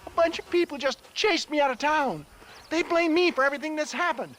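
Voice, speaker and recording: A theatrical old huckster in a western game cutscene, with a very high voice for a man. He is pompous and cowardly, wheedling and stammering his way through his pitch, over faint background noise. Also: a little fast, a bit bright. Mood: indignant, pleading. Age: older. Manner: pompous, wheedling, hemming and hawing. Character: shifty, cowardly, theatrical old snake-oil salesman. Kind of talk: dramatic video game cutscene acting.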